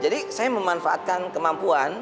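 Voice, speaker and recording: A man's voice: sits high, moderate pace, long reverb tail. A man, a puppet storyteller explaining his craft informally as a talk show guest. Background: music.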